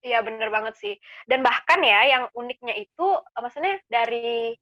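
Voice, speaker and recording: A woman's voice: a little high, animated, moderate pace, no echo, highs cut off. A young woman from Indonesia co-hosting a campus podcast in casual chat, thinking aloud with frequent fillers. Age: young adult. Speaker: podcast co-host.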